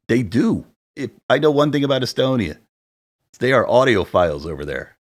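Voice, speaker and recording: A man in his forties with a deep voice, co-hosting a podcast and chatting casually from his home setup, rambling a little. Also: sing-song, fairly quick. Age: 40s. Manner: chatty, rambling, self-deprecating about sound gear. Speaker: podcast co-host. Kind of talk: casual chat. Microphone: studio dynamic mic at home.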